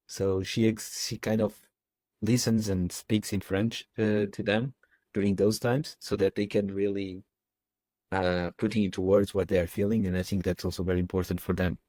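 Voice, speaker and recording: A man with a Portuguese accent, a guest on a relaxed podcast. His voice sits low and the sound is dry and close. He speaks earnestly and plainly. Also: normal speed, no room sound. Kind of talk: casual podcast conversation.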